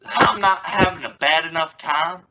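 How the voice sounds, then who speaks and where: A woman's voice: fairly low pitch, moderate pace, bright, forceful tone, highs cut off. A young woman playing an exasperated, stammering father trying to sound reasonable in an old-time radio family comedy. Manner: stammering, sputtering. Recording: noisy recording with clicks.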